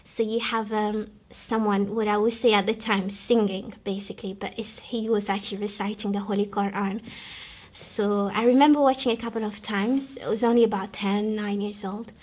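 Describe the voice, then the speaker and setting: Flat-toned, earnest woman from Congo. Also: mid-range voice. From Democratic Republic of Congo. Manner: earnest, measured, with frequent pauses and restarts. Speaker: guest telling her conversion story. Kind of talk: personal testimony, recalled storytelling.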